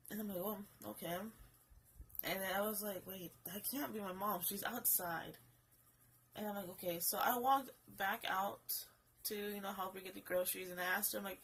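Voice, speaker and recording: A young woman telling a story in a casual vlog, rambling with fillers and restarts, her voice a little raspy because she is getting sick. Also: mid-range voice, a bit bright, slight background noise. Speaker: vlogger. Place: home, alone. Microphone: phone camera.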